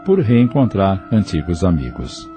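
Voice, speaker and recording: A man's voice: sits low, big pitch swings, unhurried, warm tone, faint music behind. A man with a Brazilian accent narrating an audio novel from a script.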